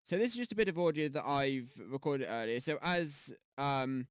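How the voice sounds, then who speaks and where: Man, rambling casual tutorial talk, headset mic, lively bouncing pitch, dry close sound. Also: normal speed, smooth, no room sound. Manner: rambling, chatty, many fillers and restarts. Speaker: YouTube tutorial host. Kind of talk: casual screen-recorded tutorial. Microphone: gaming headset mic.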